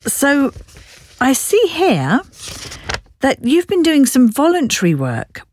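Actress as polite brisk woman interviewer, lively pitch, dry close mic. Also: no echo, heavily acted. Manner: brisk, polite, probing questions. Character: businesslike woman interviewer, patient. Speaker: job interviewer. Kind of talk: scripted listening dialogue.

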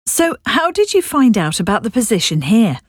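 Actress as polite brisk woman interviewer, lively pitch, dry close mic. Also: dry recording. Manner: brisk, polite, probing questions. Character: businesslike woman interviewer, patient. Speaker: job interviewer. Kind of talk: scripted listening dialogue.

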